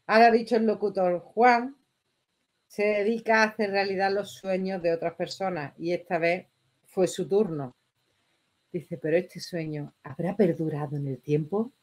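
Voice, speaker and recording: A middle-aged woman with a Spanish accent from Spain, giving casual reaction commentary in an expressive, exclamatory way. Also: mid-range voice, moderate pace. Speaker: reaction video host. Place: small bedroom indoors.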